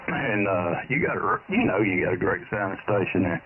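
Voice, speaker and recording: A man's voice: low-pitched, expressive, moderate pace. A man chatting casually and folksily as an amateur radio operator, heard through a radio transmission. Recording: narrow, static-filled ham radio signal.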